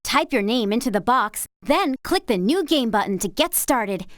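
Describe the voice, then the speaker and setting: A woman's voice: fairly high pitch, lively intonation, normal speed, dry recording, clean studio sound, close to an everyday voice. A woman playing a sweet, eager young horse-riding adventurer in a children's video game, friendly and encouraging. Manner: bright-spirited, encouraging, friendly. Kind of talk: video game character acting.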